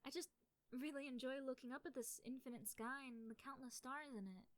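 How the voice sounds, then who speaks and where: High-voiced shy, nerdy young girlfriend played by a woman. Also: fairly quick, no echo, natural voice. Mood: calm, wistful. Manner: hesitant, stammering, giggly when embarrassed. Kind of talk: soft intimate ASMR roleplay.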